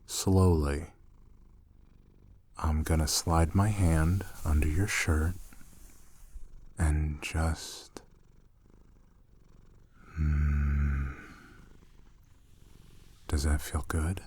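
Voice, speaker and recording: A young man acting as a gentle, teasing roommate in an intimate ASMR roleplay, speaking soothingly and coaxingly, close and soft. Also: rumbling low, little pitch movement, extremely slow, long pauses, slight background noise, heavily acted. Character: gentle, teasing male roommate soothing a shy catgirl-like companion.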